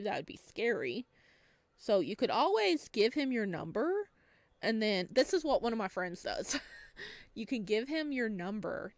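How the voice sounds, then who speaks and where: A woman with a medium-pitched voice hosts a gaming video in a dry, close recording. She reads story text aloud and slips into chatty, expressive asides to her viewers. Her manner is bubbly and informal. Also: no echo. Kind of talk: let's play, reading game text aloud with chatty reactions.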